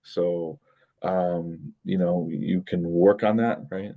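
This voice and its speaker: A man's voice: low-pitched, fairly even intonation, takes it slowly, narrow, tinny audio. A middle-aged American man giving a recorded college linguistics lecture, talking loosely with many fillers and restarts. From United States. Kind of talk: recorded lecture over screen share. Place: indoor room at a desk.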